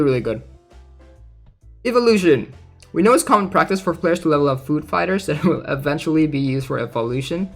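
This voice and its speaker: A man streaming casually about a game, reading aloud and thinking out loud in a hesitant way with plenty of filler words. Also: on the high side. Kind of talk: casual livestream commentary, reading aloud and reacting.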